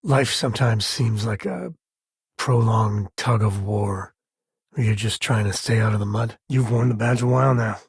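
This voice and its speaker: An actor playing a rugged, folksy space-frontier ranger and devoted father in a video game, with a husky voice and an easygoing, sincere way of talking. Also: on the low side, steady tone, moderate pace, no echo, clean studio sound. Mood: thoughtful, easygoing. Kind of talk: acted game companion dialogue.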